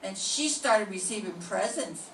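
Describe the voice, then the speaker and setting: An older woman playing a wry, streetwise young woman informant in a 1940s-style radio drama, telling her story slowly. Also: medium pitch, lively intonation, fairly bright tone. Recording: roomy classroom from a distant mic, faint hiss.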